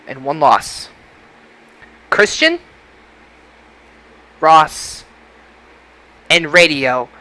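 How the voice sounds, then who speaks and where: A man's voice: high-pitched, sharp tone, rough recording. A man hosting a casual podcast discussion, slipping into an announcer-like delivery. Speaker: podcast host.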